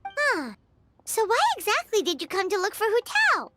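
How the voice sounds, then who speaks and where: A woman voicing a small, eager fairy companion in a video game, with a high squeaky voice and an excitable, curious manner. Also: heavily acted. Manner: excitable and curious, quick to exclaim. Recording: game cutscene with music behind.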